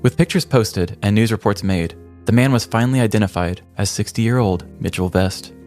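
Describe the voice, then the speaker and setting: A man's voice: lowish voice, moderate pace, a little mellow. A man hosting a podcast, narrating a scripted comic story in a dramatic, ornate, mock-serious way. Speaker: podcast host. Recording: music bed behind the voice. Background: background music.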